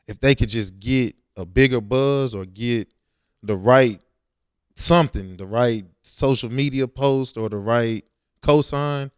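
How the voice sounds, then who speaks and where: A man's voice: mid-range voice, measured pace, smooth, no echo, clean studio sound. A young man, talking casually and slangily as a rapper in an interview. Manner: informal, slangy.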